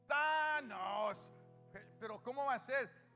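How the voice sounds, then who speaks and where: A Salvadoran man with a notably high voice preaches a sermon, firing questions at the congregation and answering them himself. His voice carries through a long, reverberant hall. Soft music plays behind him. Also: takes it slowly. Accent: Salvadoran Spanish. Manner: call-and-response questions to the congregation. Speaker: pastor.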